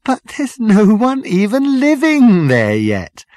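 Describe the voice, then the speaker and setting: Narrator doing an exasperated sarcastic guy, voice pushed up high, emphatic, comic sci-fi audiobook. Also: wildly swooping pitch, takes it slowly, darkish tone, no echo, studio quality. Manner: incredulous, emphatic.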